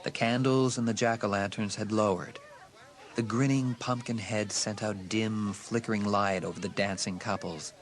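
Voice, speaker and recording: A man's voice: lowish voice, fairly even intonation, moderate pace. A man reading a dramatised horror audiobook as a scripted narrator.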